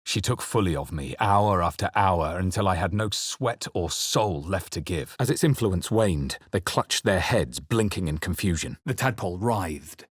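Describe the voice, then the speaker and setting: A man voicing a grave, introspective adventurer who narrates his own dark fantasy memories for a video game in a deliberate, literary way. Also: low-pitched, lively intonation, husky, no room sound, clean studio sound. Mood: brooding. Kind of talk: scripted first-person game narration.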